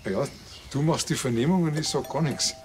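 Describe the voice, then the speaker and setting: A man's voice: mid-range voice, brisk, slight background noise, lightly acted. An actor playing a self-pitying middle-aged village policeman with a Bavarian accent in a TV crime comedy. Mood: uneasy.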